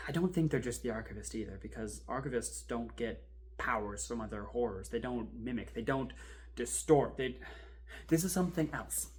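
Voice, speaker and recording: Actor playing a wry, rattled young-sounding archival assistant. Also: male voice, mid-range voice, natural voice. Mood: uneasy, grim. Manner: halting, rambling, sardonic, self-interrupting. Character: wry, rattled male archival assistant and kayak guide in a horror story. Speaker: performer in a fan audio-video drama. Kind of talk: found-footage confessional monologue, conversational storytelling.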